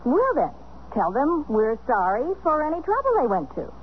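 An actress playing an anxious, exasperated, estranged American wife in a 1970s radio drama. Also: female voice, high-pitched, huge pitch swings, normal speed. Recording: muffled, hissy 1970s radio drama recording.

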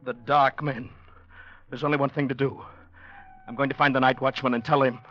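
An actor playing a desperate, sensitive man in a 1940s radio drama, speaking urgently and theatrically, with halting turns. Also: mid-range voice, level tone, close to an everyday voice. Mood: grim, resolute. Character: desperate man hiding in department store, sensitive writer. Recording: dull vintage radio recording, faint music.

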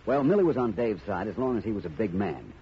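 Old muffled radio show, a cool wry american detective, lowish voice, measured and confident. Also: male voice, very animated. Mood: explaining, satisfied. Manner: measured, wry, self-assured. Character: shrewd, unflappable male private detective. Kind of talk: 1950 radio mystery drama acting. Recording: noisy, dull vintage radio recording.

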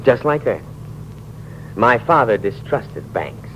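A man's voice: medium pitch, big pitch swings, slow, a bit bright. A man acting a sardonic, fatalistic heir who taunts and goads in theatrical 1940s radio drama style. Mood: amused, matter-of-fact. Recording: muffled old radio recording, faint noise.

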